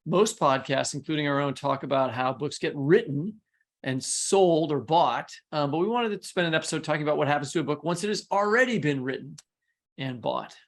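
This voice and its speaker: A man's voice: fairly high pitch, fairly quick. A man co-hosting a podcast in casual conversation, rambling and correcting himself as he goes, with a teasing way about him. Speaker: podcast co-host and interviewer.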